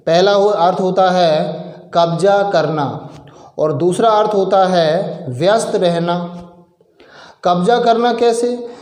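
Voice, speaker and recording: High-voiced man teaching slowly. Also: darkish tone, echoey room. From India. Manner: explanatory, asks and answers his own questions; slow, drawn-out example sentences. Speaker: English teacher. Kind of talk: spoken English lesson explained in Hindi.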